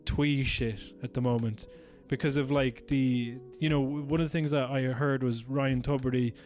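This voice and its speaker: A man's voice: mid-range voice, steady tone, moderate pace, darkish tone. Irish podcast guy from galway, swears a lot, fed up and ranting, faint music behind. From Galway, Ireland. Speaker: podcast co-host. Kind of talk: casual chat.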